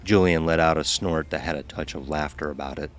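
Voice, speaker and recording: A man narrating a scripted dark audio story. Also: a bass voice, steady tone, a little fast, a little hiss or hum.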